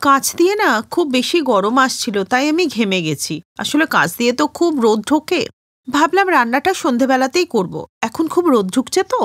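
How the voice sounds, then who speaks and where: A woman's voice: high voice, big pitch swings, normal speed, a bit bright, no echo, clean studio sound, heavily acted. A woman voicing a stubborn, demanding young housewife in a cartoon story, insistent and complaining. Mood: weary.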